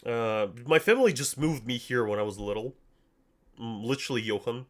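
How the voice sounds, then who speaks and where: A man's voice: mid-range voice, lively intonation, a little fast. A young man from Russia with a slight Russian accent, chatting casually on a livestream in a joking, slangy, self-deprecating way. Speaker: anime commentary streamer. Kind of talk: casual livestream chat, answering viewers.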